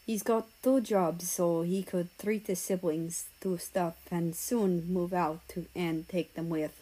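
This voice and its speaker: A woman's voice: on the low side, fairly even intonation, slow, mellow, rough recording. A woman voicing an earnest, rambling teenage boy who confides hesitantly in his friends in a story. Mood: subdued, fond.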